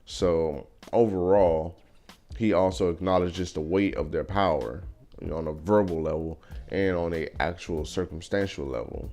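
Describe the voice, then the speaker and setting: A young man talking through his opinions in a casual vlog, thoughtful and measured, with frequent fillers. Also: low-pitched, a little mellow. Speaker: vlogger. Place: car.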